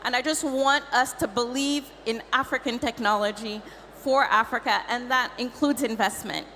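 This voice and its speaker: A woman's voice: on the high side, fairly even intonation, moderate pace, pushed and bright, faint music behind. A woman from Cameroon speaking as a tech advisor on a conference panel, emphatic and prone to repeating words. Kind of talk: panel discussion. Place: conference stage. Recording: conference hall reverb, faint noise.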